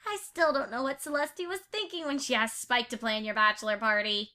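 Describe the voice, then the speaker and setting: A woman's voice: on the high side, a little fast, sharp tone. A woman playing an organised, eager young female pony in an amateur audio drama. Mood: reassuring.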